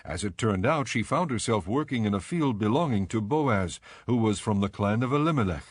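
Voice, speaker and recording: Casting: male narrator for dramatized scripture, lowish pitch, measured and solemn, dry studio sound. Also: animated, breathy edge, no echo.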